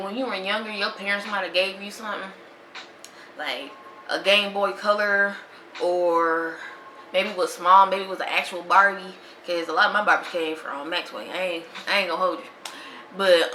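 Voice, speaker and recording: A woman's voice: mid-range voice, pushed and bright. A woman, talking casually and with animation as a podcast host in a slangy monologue. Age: 20s. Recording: echoey room with faint noise.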